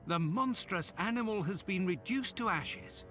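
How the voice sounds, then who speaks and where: A genteel, fussy companion to a detective in a video game. His pitch is a little high and swings expressively as he speaks politely. Clear music runs underneath. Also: measured pace. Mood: relieved, polite. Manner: polite, expressive, slightly fretful. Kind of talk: video game cutscene acting.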